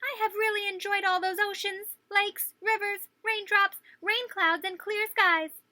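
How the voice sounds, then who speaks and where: A woman's voice: very high-pitched, fairly even intonation, a little slow, bright, forceful tone, dry recording, voice pushed up, full character voice. A woman voicing a worn-out, stubby little crayon from a children's storybook, pleading in an exaggerated, plaintive way. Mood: plaintive, weary. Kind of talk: children's storybook read-aloud.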